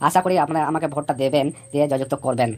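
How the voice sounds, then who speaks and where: Woman acting blustering male village politician, rapid-fire delivery. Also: deep voice, fairly even intonation, a little mellow, a little hiss or hum, close to an everyday voice. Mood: cocky, persuasive. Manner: blustering, repetitive speechifying, scolding. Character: Joga, boastful scheming village election candidate, male. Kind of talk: comic cartoon campaign speech.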